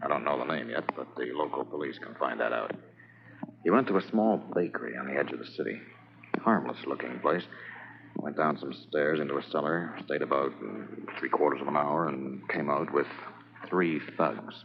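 An actor playing a brisk, matter-of-fact police sergeant in a 1950s radio drama. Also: male voice, extremely low pitch, vocal fry. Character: police sergeant, loyal partner. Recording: dull old radio transcription, hiss.

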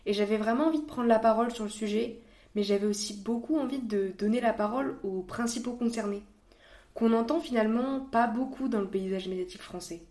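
A woman's voice: a little high, brisk, a little mellow. A woman hosting a podcast, explaining things in a scripted, structured narration. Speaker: podcast host.

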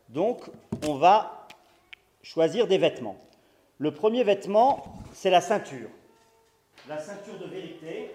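A man's voice: fairly high pitch, a little mellow. A man giving a conference talk, hesitant with frequent restarts and fillers, pushing hard on key words. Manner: emphatic, rising on key words. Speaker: lecturer. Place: conference hall. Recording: echoey room.

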